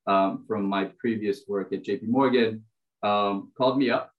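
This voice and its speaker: A man's voice: fairly low pitch, normal speed, lo-fi sound. A man in his thirties from Brazil with an American accent, a podcast guest talking casually with lots of ums and uhs. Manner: relaxed, many filler ums and uhs. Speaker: podcast guest, finance executive. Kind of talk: casual interview chat.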